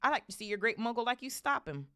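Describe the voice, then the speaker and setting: A woman voicing a big, gruff, loyal and emotional male groundskeeper in a storybook, with a blustering, halting, folksy manner. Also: low voice, lively intonation, no room sound. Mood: scornful.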